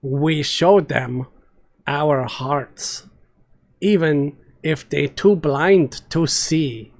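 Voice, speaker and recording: A man playing a massive, gentle, solemn green paladin in a fantasy story, speaking softly in halting, broken sentences. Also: mid-range voice. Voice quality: soft-spoken. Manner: slow, halting broken speech.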